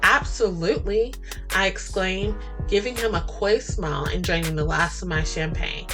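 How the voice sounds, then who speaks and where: A woman narrating a first-person romance story as podcast host, in a flirty, expressive, dramatic style. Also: on the low side, normal speed, music in the background, rough recording.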